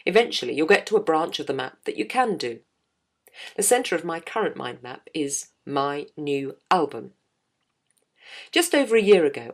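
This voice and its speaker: Low-voiced woman, wry narration. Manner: wry, self-mocking humour. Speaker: jazz singer and podcast host. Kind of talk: reading her own blog aloud.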